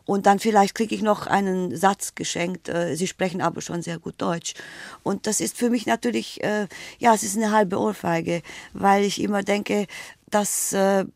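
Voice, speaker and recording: A woman's voice: mid-range voice, steady tone, normal speed, vocal fry, darkish tone, dry recording. A middle-aged woman from Romania with a Romanian-German accent and a rolled R, answering interview questions in a hesitant, thoughtful way with many fillers. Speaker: interview guest, writer.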